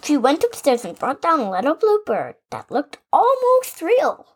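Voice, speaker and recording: High, animated female storyteller. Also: moderate pace. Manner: animated, expressive storytelling with asides. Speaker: story reader, podcast host. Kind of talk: reading a children's picture book aloud.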